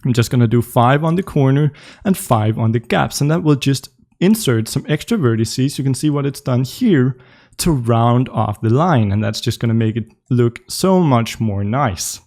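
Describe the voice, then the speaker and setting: A man's voice: mid-range voice, lively intonation, normal speed, a little mellow. A young man with a Danish accent hosting a live coding tutorial, explaining casually with frequent ums and uhs as he works. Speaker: tutorial host.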